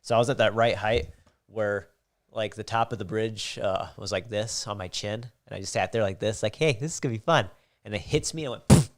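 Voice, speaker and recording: A man's voice: fairly low pitch, fairly quick. A man chatting casually as a livestream guest, with a laid-back manner and wry interjections. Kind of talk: casual chat.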